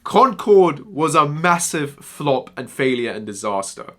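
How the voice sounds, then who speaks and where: Young male commentator with a bright, tense voice and a rambling, emphatic delivery. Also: on the high side, big pitch swings, measured pace. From Germany-born Iranian, raised in England. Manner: rambling, repeats words, emphatic. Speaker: gaming commentator. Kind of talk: opinionated casual commentary.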